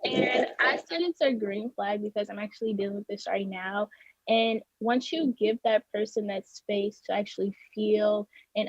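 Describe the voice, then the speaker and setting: A woman's voice: mid-range voice, a little mellow, no room sound. A woman in her twenties, a student panelist, speaking reflectively with some hesitations. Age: 20s. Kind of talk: panel discussion. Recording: dry, dull sound.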